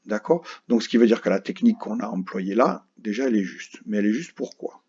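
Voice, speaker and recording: Patient man explaining math, husky low voice. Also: expressive, fairly quick, a little mellow. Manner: patient, explains step by step, keeps asking "d'accord?". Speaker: primary school teacher. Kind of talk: recorded math lesson for children. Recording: dull lo-fi recording.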